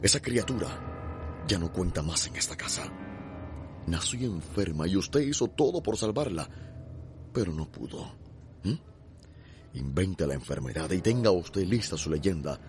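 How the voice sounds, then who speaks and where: An actor playing a cold, domineering landowner in a radio drama, imperious and threatening, with a Cuban accent and husky voice. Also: male voice, low voice. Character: cold, menacing, domineering male slaveholding landowner.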